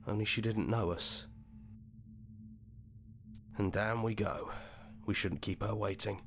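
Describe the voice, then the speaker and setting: A man voicing an earnest, soft-spoken young hero in a video game, thoughtful and loyal. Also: lowish voice, fairly even intonation, slow, creaky, a little hiss or hum, full character voice. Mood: wistful. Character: earnest heroic young man, gentle inner voice and loyal companion. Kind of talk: visual novel game voice acting.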